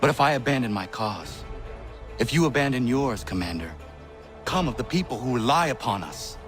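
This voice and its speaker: An actor playing an earnest, stern young assassin in a video game, speaking in a solemn, formal and measured way. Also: male voice, medium pitch, animated, music in the background, close to an everyday voice. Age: young adult. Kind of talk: video game cutscene acting.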